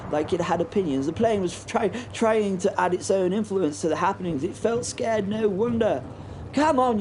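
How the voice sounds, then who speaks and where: Haunted wartime pilot, noisy backdrop. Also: male voice, high-pitched, darkish tone. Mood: urgent, coaxing. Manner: halting, repeating words, wry understatement. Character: British First World War biplane pilot, haunted, plucky, recounting battle. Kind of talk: dramatised first-person monologue in a horror audio drama.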